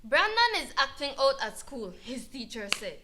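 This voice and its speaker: A woman's voice: sits high, sing-song, moderate pace, noisy background. A woman playing a troubled young mother seeking protection, speaking simply in an educational dramatization. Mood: worried.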